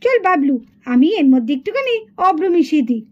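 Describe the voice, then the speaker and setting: A woman voicing a bossy, chatty, excitable schoolgirl doll in a children's puppet cartoon. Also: high voice, quick pace. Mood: excited. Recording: dull lo-fi sound.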